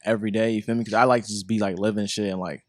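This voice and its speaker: A man's voice: fairly low pitch, level tone, very fast, dry recording, clean studio sound. A man guest, a creative director, talking casually in loose, rambling slang. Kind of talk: casual podcast chat.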